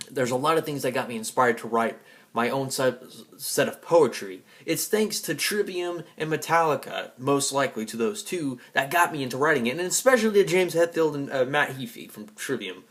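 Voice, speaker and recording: Man, mid-pitched voice, rambling monologue, emphatic, defiant, sweary, passionate fan vlogger. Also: expressive, moderate pace, fairly bright tone. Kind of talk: rambling personal monologue to an audience.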